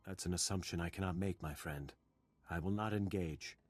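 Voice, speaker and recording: An actor playing a solemn, principled Jedi with a husky voice in a video game. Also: male voice, deep voice, moderate pace, no room sound. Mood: resigned, grave.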